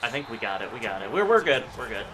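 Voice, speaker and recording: A man's voice: mid-range voice, very animated, fast talker, music in the background. A man with a stuffy nose hosting a gaming channel, chatting casually and reacting to plays as he goes. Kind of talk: casual gameplay commentary.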